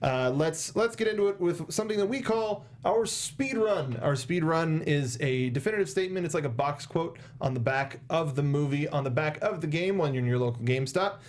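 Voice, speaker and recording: A young man hosting a movie discussion, talking casually with halting, repeated words into a close studio microphone. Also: on the high side, expressive. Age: young adult. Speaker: show host. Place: small indoor podcast studio. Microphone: close studio microphone with foam cover, headset monitoring.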